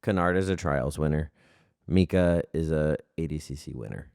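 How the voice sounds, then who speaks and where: A man with a very low voice talks as a podcast guest in an unhurried, monotone way. The sound is dry and close, with little room around it. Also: vocal fry, clean tone, no echo. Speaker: podcast guest, grappling competitor. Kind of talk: casual chat. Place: podcast studio.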